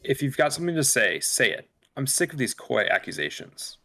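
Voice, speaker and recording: A man's voice: mid-range voice, sing-song, fairly quick, vocal fry, pushed and bright, exaggerated voice. A man playing a defensive, irritable spaceship crewman in a table read. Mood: angry, defensive.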